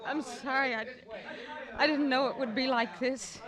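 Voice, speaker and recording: High, overwrought frontier woman played by an actress. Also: fairly even intonation, moderate pace, lightly acted, low-quality recording. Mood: distraught, pleading. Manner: pleading, overwrought. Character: anxious, emotional young frontier woman. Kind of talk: old-time radio western acting. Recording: vintage radio recording, loud crowd.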